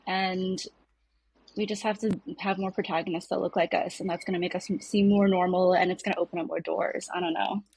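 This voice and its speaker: Husky, creaky-voiced woman. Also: fairly low pitch, steady tone, fairly quick, darkish tone, rough recording. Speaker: podcast guest. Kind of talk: casual podcast discussion.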